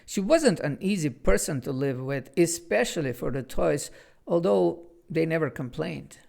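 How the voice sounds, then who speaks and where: A man's voice: a little high, gravelly. A man from Bulgaria with a Bulgarian accent, chatting earnestly and then reading his own children's book aloud. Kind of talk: chatty intro, then storybook read-aloud.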